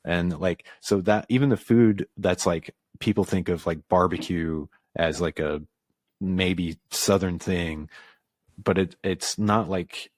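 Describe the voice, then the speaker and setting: A man from the American South, a podcast guest, talking casually and reflectively with lots of pauses and fillers. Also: low voice, no room sound, studio quality. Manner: reflective, halting, filler-heavy. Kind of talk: casual conversation.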